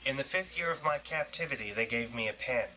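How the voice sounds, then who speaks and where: A man reading a first-person literary piece in a measured, dramatic way. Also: mid-range voice, highs cut off, a little hiss or hum.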